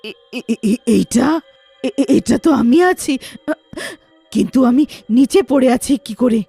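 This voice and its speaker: A woman voicing a vengeful young witch ghost with loud, dramatic threats and wails for a moral horror story. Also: on the high side, slow, creaky, slightly rough, dry recording, faint music behind, full character voice. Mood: anguished, vengeful.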